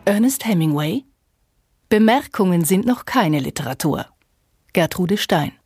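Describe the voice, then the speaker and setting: A woman's voice: fairly low pitch, expressive, unhurried, darkish tone, slightly rough, faint music behind. Woman reciting scornful quotations. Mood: cool disdain. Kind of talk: scripted literary recitation, quote then author's name.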